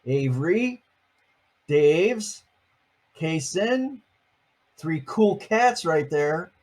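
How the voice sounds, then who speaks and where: A man's voice: on the high side, animated, extremely slow, darkish tone. An older man reading a picture book aloud to his grandchildren, adding chatty, playful asides between pages.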